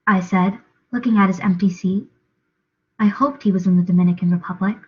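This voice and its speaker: A woman's voice: mid-range voice, steady tone, a little fast. Young woman reading a story, dull recording. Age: young adult. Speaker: reader, drama student. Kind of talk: dramatic audiobook reading of a novel.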